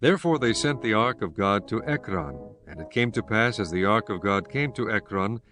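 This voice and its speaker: A man reading scripted scripture narration in a solemn, measured manner. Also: on the low side, animated, a bit bright.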